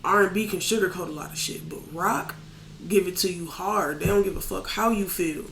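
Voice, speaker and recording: A man with a raspy voice talking casually as a podcast guest, candid and loose, with plenty of fillers. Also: high-pitched, brisk, a bit bright, a little hiss or hum. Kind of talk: casual chat. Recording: echoey room.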